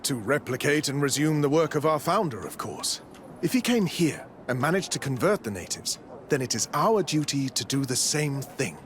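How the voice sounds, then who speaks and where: A preachy, zealous village priest. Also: male voice, a little high, sing-song, normal speed, a bit bright, a little hiss or hum. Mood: pious, proud. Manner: formal, preachy, condescending. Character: zealous, smooth-talking priest leading a converts' village. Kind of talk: scripted video game dialogue.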